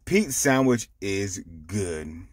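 A man reading a picture book aloud to children, explaining it simply with animated asides. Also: on the low side, drawn-out words. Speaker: storytime reader.

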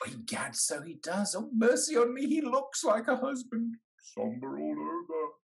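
A man acting a blunt, hearty old English gentleman in a period stage comedy, emphatic and wry. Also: sits high, sing-song, normal speed, vocal fry, heavily acted. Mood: teasing, hearty. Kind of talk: theatrical period comedy acting over video call. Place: indoors, on a video call.

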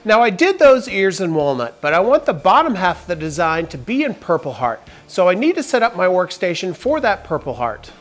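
A man's voice: sits high, sing-song, fairly quick, few pauses, faint music behind. A man in his forties, a woodworking host, narrating a step-by-step tutorial in an upbeat, emphatic way in a large workshop on a lapel mic. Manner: upbeat, emphatic sentence openers. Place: large workshop with wooden walls.

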